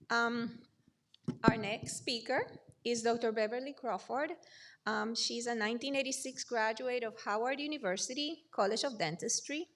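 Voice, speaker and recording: A woman acts as host of an academic event. Her voice sits a little high with clear vocal fry as she formally reads out introductions. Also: a bit bright. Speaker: symposium host introducing speakers. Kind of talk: formal introduction read from notes.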